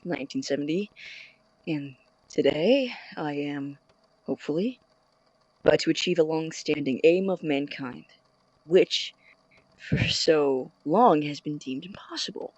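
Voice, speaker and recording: An actor playing a driven, self-important young scientist in an audio drama, recording a log in a grandiose, deliberate manner. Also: male voice, on the high side, darkish tone, no room sound, lo-fi sound. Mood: solemn, proud.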